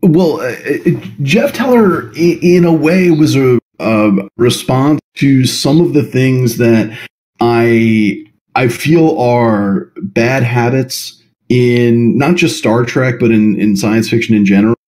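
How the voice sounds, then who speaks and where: A man's voice: mid-range voice, slow pace, a little mellow. A man answering as a podcast guest, explaining things at length in a thoughtful, hesitant conversational way. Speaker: podcast guest, role-play writer.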